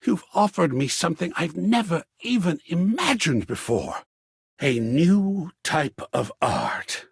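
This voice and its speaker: A man's voice: on the high side, big pitch swings, slow pace, vocal fry, breathy edge, dry recording, clean studio sound, full character voice. An actor playing a flamboyant, melodramatic male art lover in a video game, with a pompous, florid, theatrical delivery. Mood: lovestruck, rapturous. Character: flamboyant, melodramatic art-loving male dateable character, passionate and volatile.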